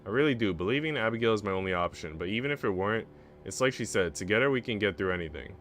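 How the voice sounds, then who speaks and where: A man's voice: on the low side, lively intonation, racing, fairly bright tone. A man reading a visual novel aloud as a streamer, narrating the story in the first person.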